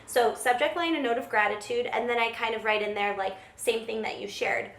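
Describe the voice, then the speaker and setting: A woman's voice: on the high side, brisk, fairly bright tone. A woman whose first language is Italian, co-hosting a casual chat in an earnest, upbeat way. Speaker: co-host. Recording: echoey room.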